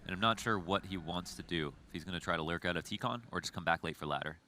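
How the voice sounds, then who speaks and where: Fast, bright low-voiced match commentator. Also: male voice, a little hiss or hum. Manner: fast, analytical play-by-play. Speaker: esports commentator.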